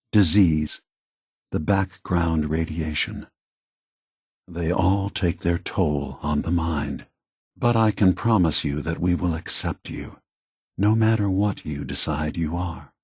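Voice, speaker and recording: An actor playing a gentle, weary, aged male synth leader in a video game, softly persuasive and deliberate, with a breathy, husky voice. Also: low-pitched, dry recording, clean studio sound, heavily acted. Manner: measured, deliberate, philosophical, reassuring.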